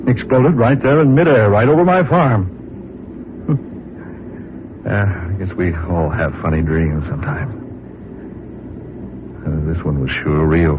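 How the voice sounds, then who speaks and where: Casting: furtive farmer in old radio thriller, slow delivery, muffled sound with loud engine drone. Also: male voice, low-pitched, background noise. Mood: uneasy, evasive. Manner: halting, muttering, turning frantic. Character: nervous, furtive farmer, a fugitive fleeing by plane. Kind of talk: 1940s radio acting.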